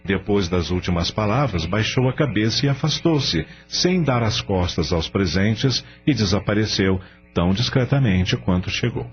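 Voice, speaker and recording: A man's voice: lowish voice, lively intonation, normal speed, hardly any pauses. A Brazilian man narrating a radio drama in a scripted, dramatic storytelling style. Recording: dull radio recording with faint music. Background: soft background music.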